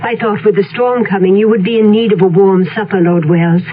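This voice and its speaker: A woman's voice: mid-range voice, fairly even intonation, a little fast, natural voice. A woman playing a devoted, demure young house servant in a gothic radio drama, speaking politely and formally. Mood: shy, deferential. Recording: dull radio recording, music behind.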